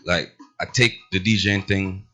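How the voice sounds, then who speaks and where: A man from Boston, a DJ, answering interview questions in a relaxed, matter-of-fact way. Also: deep voice, a little slow. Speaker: guest DJ.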